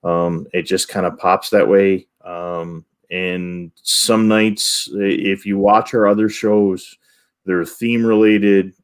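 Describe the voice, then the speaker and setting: Low-voiced man rambling haltingly. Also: little pitch movement, clear, resonant tone. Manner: halting and rambling, with stammered restarts. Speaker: co-host reading chat questions. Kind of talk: casual live-show talk.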